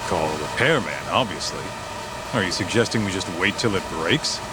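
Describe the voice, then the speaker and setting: An actor playing a streetwise, sarcastic but cautious sidekick in a video game, bantering in slangy, skeptical style. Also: male voice, low voice, expressive, moderate pace, heavy background noise. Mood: amused, sarcastic. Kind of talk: English game dub acting.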